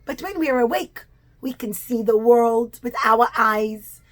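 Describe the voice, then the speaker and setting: A woman's voice: on the high side, measured pace, close to an everyday voice. A woman voicing earnest, innocent Israelites who plead and explain simply in a children's puppet show.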